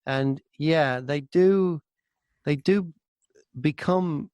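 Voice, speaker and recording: A man with a slightly high voice in casual interview conversation. He sounds enthusiastic and curious, with questions and comments in an easy back-and-forth. The sound is dry, with no room echo. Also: extremely slow, a little mellow, clear, resonant tone, lo-fi sound. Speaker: audiobook narrator and voice actor, interview guest. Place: remote call from another country.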